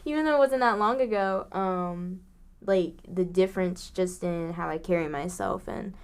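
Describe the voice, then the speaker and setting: A woman in her twenties co-hosting a podcast in casual chat, relaxed, laughing along and adding quick asides. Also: lowish voice, clear, resonant tone. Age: 20s. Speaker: podcast co-host.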